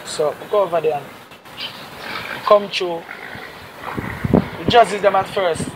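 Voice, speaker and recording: A young man with a Jamaican accent spins a long, rambling story in patois, his voice sitting a little high. He is recorded outdoors on a rooftop. Loud wind and passing vehicles run under every word. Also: takes it slowly. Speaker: reggae singer, guest. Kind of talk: casual interview storytelling. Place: rooftop outdoors overlooking a city. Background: wind and traffic.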